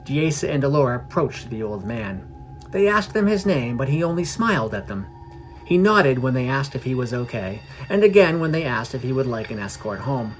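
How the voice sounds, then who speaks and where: A man narrates a fantasy adventure story in a scripted read, his voice at an average male pitch. Music plays underneath as he tells the tale for a podcast. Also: sing-song, normal speed, poor audio.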